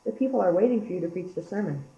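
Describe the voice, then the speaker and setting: Deferential church organist, acted by a teenage girl, fast-talking, roomy and dull recording. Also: lowish voice, lively intonation, dark tone. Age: young teenager. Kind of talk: amateur school radio play. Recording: roomy, muffled amateur recording.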